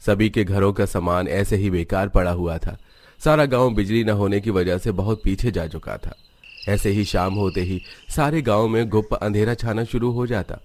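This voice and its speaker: A man's voice: fairly low pitch, normal speed, darkish tone, a little hiss or hum. A man narrating a scripted cartoon village story.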